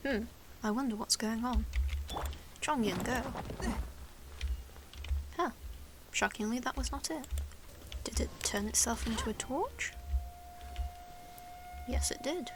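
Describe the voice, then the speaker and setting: A woman's voice: fairly high pitch, takes it slowly, pauses often. Wry woman commentating over a noisy recording. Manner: wry, dryly sarcastic. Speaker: let's play host. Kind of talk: casual game commentary.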